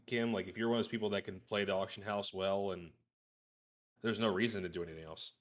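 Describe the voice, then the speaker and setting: A man's voice: fairly low pitch, fairly even intonation, fast talker. A man talking casually as a guest on a gaming podcast, using informal gamer slang.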